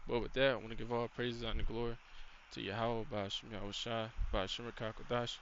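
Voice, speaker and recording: A man's voice: medium pitch, flat delivery, a little fast, fairly bright tone, dull audio, a little hiss or hum. A man giving a casual faith-based lesson, rambling and loose, dropping "you know" and "man" throughout. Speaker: channel host giving a faith-based teaching.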